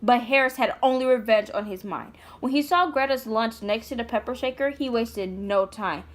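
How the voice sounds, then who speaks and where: Woman, higher-pitched voice, casual children's read-aloud, chatty asides, informal mother-to-child delivery. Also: normal speed. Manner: informal, talking to a small child. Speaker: mother reading aloud to a child, vlog host. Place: indoors, sitting on the floor.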